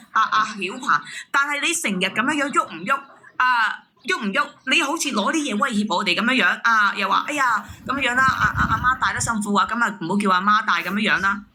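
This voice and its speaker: A woman caller airing a family grievance, repeating her words haltingly as she argues. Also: fairly high pitch, expressive, pushed and bright. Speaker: caller, aggrieved sister-in-law. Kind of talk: airing a family dispute on a call-in show.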